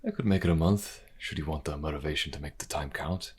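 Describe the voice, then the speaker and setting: A man plays a fantasy bishop with an extremely deep, rough-edged husky voice. He is tender and protective, with a playful teasing streak, in an audio drama. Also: fast talker. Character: male bishop, caring, playful, protective lover.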